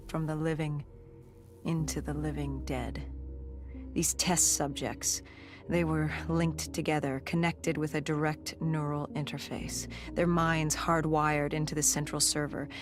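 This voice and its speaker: An actor voicing a grim, augmented soldier in a video game cutscene, narrating in a somber, measured, clipped way with a breathy, gravelly edge. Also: mid-range voice, lightly acted. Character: grim cybernetically augmented soldier recounting a past disaster.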